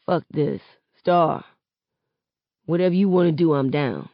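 A hurt, hot-tempered young man, acted by a woman reading an audiobook, blunt and full of slang. The pace is slow and the sound a little dull. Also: low voice, no room sound. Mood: angry, resolved. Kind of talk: audiobook character acting.

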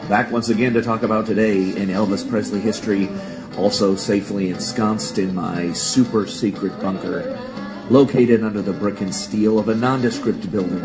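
Lower-voiced showy male presenter over guitar music. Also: moderate pace, poor audio. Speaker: history segment presenter. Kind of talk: scripted-style narration for listeners. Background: music with plucked guitar.

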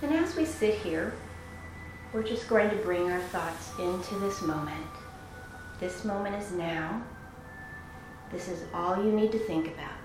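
A woman leading a guided meditation in a soothing, unhurried manner, pausing between phrases. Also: on the low side, animated. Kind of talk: guided meditation, slow instruction. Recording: very echoey room with music. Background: meditation music.

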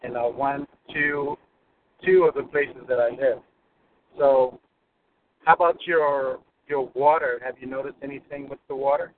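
A man's voice: medium pitch, steady tone, unhurried. Man calling in from his car, rambles and restarts, thin phone line, faint hiss. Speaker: caller. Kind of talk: call-in question. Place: car, driving to work.

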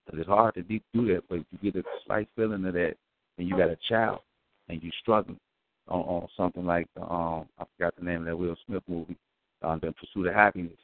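Deep-voiced man on a phone line. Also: steady tone, a little fast, no room sound. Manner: loose, emphatic street talk. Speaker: co-host of a call-in show. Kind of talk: casual spiritual chat. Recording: dry tinny phone line.

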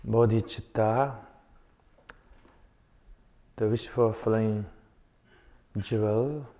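A man leading a guided meditation in short, contemplative phrases with long pauses between them. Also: lowish voice, steady tone, warm tone. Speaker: meditation teacher. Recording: long reverberant hall echo.

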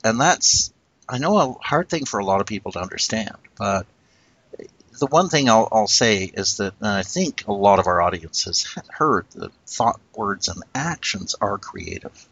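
A man co-hosting a casual discussion, talking in an earnest, reflective way and slowing down to stress his points. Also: lowish voice, lively intonation, a bit bright, husky, no room sound, rough recording. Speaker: podcast co-host.

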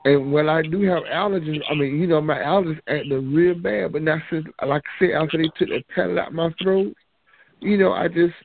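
Guy rambling on a chat line, kinda high voice, tinny phone sound w/ hiss. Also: fairly even intonation, vocal fry, dry recording. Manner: rambling, drawn-out storytelling. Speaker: caller.

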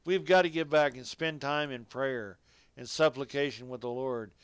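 A man's voice: medium pitch, normal speed, clear, resonant tone, dry recording. A man preaching a radio sermon, reading scripture and exhorting listeners directly in folksy, colloquial phrasing.

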